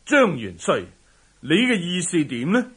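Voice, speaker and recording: A hearty Mongol prince and commander in a historical radio drama. He speaks slowly and grandly in a husky voice that swings widely in pitch, magnanimous and sure of his power. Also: very animated, fairly bright tone, heavily acted. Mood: pleased, hearty. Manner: hearty, unhurried, laughing boastfully.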